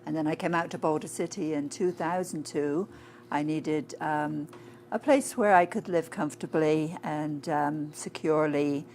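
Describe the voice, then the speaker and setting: Woman as an interview guest, low voice, chatty with ums, faint shop ambience. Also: fairly even intonation, measured pace, darkish tone, slight background noise. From England. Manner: conversational, many fillers. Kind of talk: casual interview answers. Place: inside a flying saucer-themed shop.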